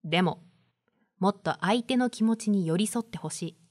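Female primary teacher, acted by a woman: firm, measured, caring, mid-pitched, recorded dry, for a voice drama. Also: darkish tone, no echo, close to an everyday voice. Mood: gentle, firm. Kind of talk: voice drama acting.

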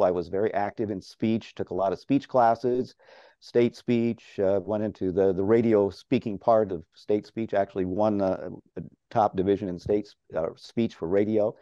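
An older man with a strong, mellow, fairly low voice tells stories with lively, chatty energy and frequent laughter. He talks from a home office over a video call. The sound is dry and a little dull. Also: dry recording. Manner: chatty, animated, laughs often. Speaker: interview guest, radio farm broadcaster. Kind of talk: casual storytelling.

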